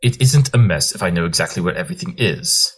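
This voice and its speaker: A man's voice: sits low, expressive, fast talker, slightly rough. A man playing a brilliant, irritable pony detective in an audio drama, pompous, clipped and sardonic. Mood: self-assured. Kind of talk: scripted audio drama acting.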